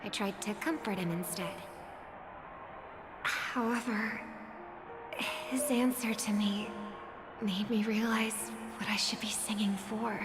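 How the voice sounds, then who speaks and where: A woman playing a gentle, earnest young female singer in a video game, idealistic and measured in speech. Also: medium pitch, steady tone, natural voice. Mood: wistful, reflective. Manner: measured, soft-spoken, earnest. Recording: long reverb, music under the voice. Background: background music.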